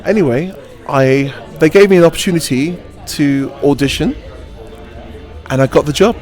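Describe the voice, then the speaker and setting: Man, pantomime actor, chatty, rambling storyteller, playful asides, higher-pitched voice. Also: normal speed, a little mellow, soft background music. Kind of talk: casual interview answers.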